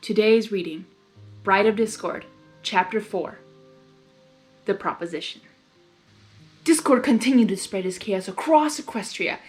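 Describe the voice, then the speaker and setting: A chatty, expressive woman narrating fan fiction as host and adding her own spontaneous reactions. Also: medium pitch, a little slow, pauses often, soft background music. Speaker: story reader and host.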